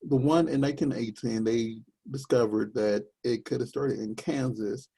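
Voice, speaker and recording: Gravelly, husky young man rambling. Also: steady tone, moderate pace, warm tone, low-quality recording. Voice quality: deep voice. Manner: rambling, searching for words with many fillers. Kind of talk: casual chat.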